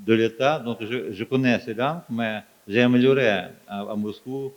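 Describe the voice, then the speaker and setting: A man from Ukraine, a native Ukrainian speaker with an Eastern European accent, answering as a guest author on stage in halting, fragmented phrases. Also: medium pitch. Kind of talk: onstage interview answers. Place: live festival stage. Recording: dull, muffled live recording.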